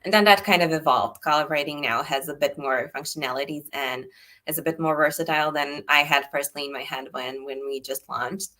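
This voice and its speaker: A young woman from Serbia, a startup founder, chatting in a relaxed way as a guest on a video call interview. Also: low voice, a little fast. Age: young adult. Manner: relaxed, chatty, frequent "like" fillers. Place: indoor room, bright wall behind.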